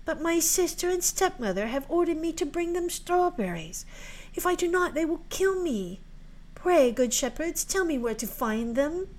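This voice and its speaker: A woman's voice: high voice, moderate pace, voice pushed up, close to an everyday voice, poor audio. A woman voicing a meek young girl in a storybook tale, pleading politely and earnestly in old-fashioned words. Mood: sad pleading. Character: meek, pleading orphan girl sent into winter mountains.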